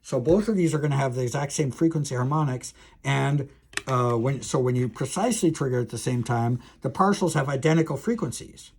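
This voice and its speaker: A man's voice: medium pitch, fairly quick, gravelly, darkish tone, breathy edge. A man lecturing as a professor on a technical subject, explaining step by step in a hesitant, thinking-aloud way with self-corrections.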